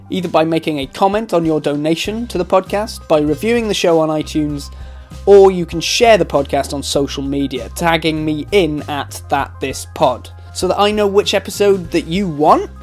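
A man's voice: fairly high pitch, darkish tone. A man hosting a podcast, speaking solo in a conversational outro style. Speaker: podcast host. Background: guitar music.

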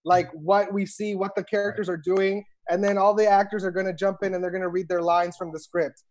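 A man's voice: sits high, level tone, brisk, darkish tone. A man hosting casually on a video call and reading out stage directions. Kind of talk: casual group chat and script read-through. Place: indoor room, on a group video call.

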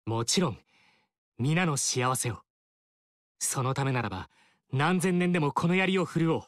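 A man's voice: a little high, animated, moderate pace, no room sound, studio quality, close to an everyday voice. A man voicing an earnest, righteous young warlord. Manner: earnest, resolute and formal. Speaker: game character. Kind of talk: game dialogue acting.

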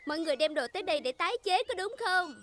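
A woman voicing a brisk, friendly, scatterbrained rabbit worker in a children's cartoon dub, with a busy, chirpy manner. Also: very high-pitched, animated, noisy background. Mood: welcoming. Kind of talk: animated children's cartoon dubbing.

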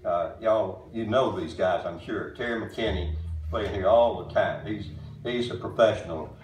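A man in his eighties with a deep voice, talking plainly to an audience from a small stage, halting with many uhs. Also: darkish tone, a little hiss or hum. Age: 80s. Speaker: bandleader introducing a tribute show. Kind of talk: casual stage talk to an audience. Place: small wooden-walled music barn with audience. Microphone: far camera recording a stage mic. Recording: roomy hall sound from far off.

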